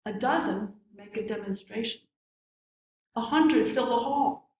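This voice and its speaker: Slow, higher-voiced woman in echoey room. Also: darkish tone. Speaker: poetry reader at a service. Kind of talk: measured poem reading.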